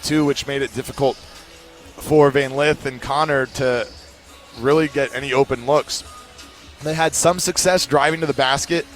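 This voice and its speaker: Measured male sports commentator. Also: medium pitch, a bit bright. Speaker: play-by-play commentator. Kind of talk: sports analysis talk.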